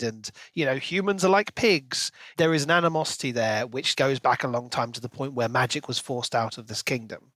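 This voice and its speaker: Male podcast co-host, slightly high voice, dry close sound, explains his reasoning in casual book discussion. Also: a bit bright, no echo. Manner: analytical, explanatory.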